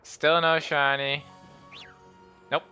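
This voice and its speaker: A man's voice: fairly high pitch, little pitch movement, very slow, sharp tone, faint music behind. A man streaming a game, rambling and joking at himself as he talks through it. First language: Danish. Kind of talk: casual game livestream commentary.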